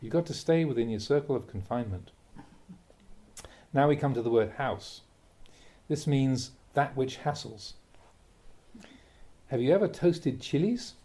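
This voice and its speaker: A man, a Buddhist monk, reads a translated spiritual talk aloud in a measured, emphatic way, posing rhetorical questions. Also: mid-range voice, animated, dark tone. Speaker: Buddhist monk reading a teacher's talks aloud. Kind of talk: reading a translated Dharma talk aloud, with brief asides.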